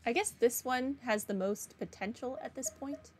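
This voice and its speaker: A woman's voice: on the high side, normal speed. A woman giving casual playthrough commentary as a game streamer, with dry, muttered asides. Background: game music.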